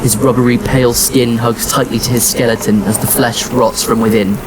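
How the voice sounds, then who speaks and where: A man playing a somber, determined wanderer, narrating a science fiction audio drama in the first person, measured and reflective. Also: mid-range voice, fairly even intonation, background noise, natural voice.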